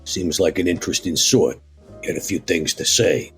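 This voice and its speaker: A man voicing a gruff, laid-back male vampire in a tabletop game, gravelly and husky, with slangy, folksy, hesitant phrasing. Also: low-pitched, steady tone, moderate pace, a bit bright, faint music behind, full character voice. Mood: wary, casual.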